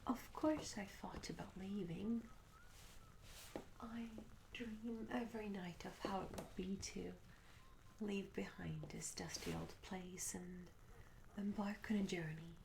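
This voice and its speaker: A woman voicing a shy, curious young medieval tavern maid in a soft-spoken, half-whispered ASMR roleplay, gentle and hesitant with formal old-fashioned wording. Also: mid-range voice, steady tone, slow pace, creaky, background noise. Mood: wistful. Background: tavern ambience sounds.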